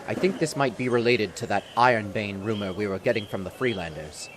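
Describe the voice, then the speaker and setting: A man acts as a thoughtful, earnest adviser in a fantasy radio drama. The voice is fairly low and reasons with deliberation. Faint noise sits behind it. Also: fairly quick, natural voice. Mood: pondering, grave. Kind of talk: fantasy audio drama acting.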